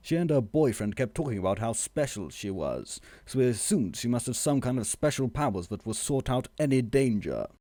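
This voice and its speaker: Mellow deadpan man narrating. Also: mid-range voice, fairly quick, few pauses, dry recording, studio quality. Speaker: story narrator. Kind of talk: scripted comic story narration.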